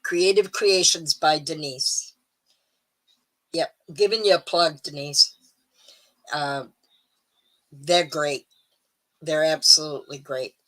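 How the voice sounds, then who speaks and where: A middle-aged woman hosting a live stream, chatting casually and rambling with ums, a little hoarse. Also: deep voice, steady tone, slow, pauses often, a bit bright, rough recording. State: slightly hoarse. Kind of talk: casual chat to viewers.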